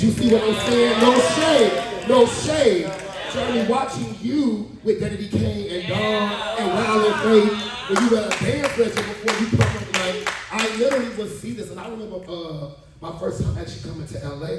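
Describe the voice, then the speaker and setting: High-voiced man in a very echoey room. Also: fairly even intonation, moderate pace. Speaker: panel host. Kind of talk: gushing, informal event hosting. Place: town hall event room. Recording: very echoey, noisy room, distant mic.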